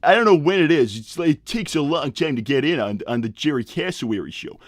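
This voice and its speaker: A man's voice: fairly high pitch, expressive, brisk, no echo, clean studio sound. A man voicing a glib, tactless cartoon animal boss who rambles breezily through improvised live roleplay. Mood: glib, rambling. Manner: rambling, blunt, breezy, many digressions.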